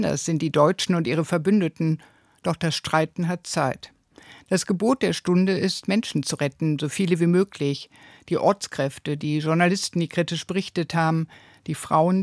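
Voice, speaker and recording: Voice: medium pitch, vocal fry, no echo. Earnest radio preacher with a dry, close sound. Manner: earnest, emphatic, rhetorical questions and short phrases. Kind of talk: scripted radio devotional, spoken commentary.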